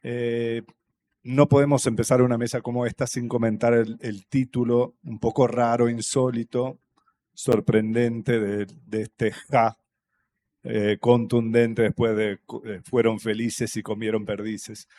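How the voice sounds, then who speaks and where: A man from Brazil whose first language is Portuguese, speaking Spanish with a Brazilian accent on a festival panel, thoughtful and halting, with many fillers and restarts. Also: mid-range voice, flat delivery, dark tone. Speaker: panel guest, writer. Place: stage at a public festival panel.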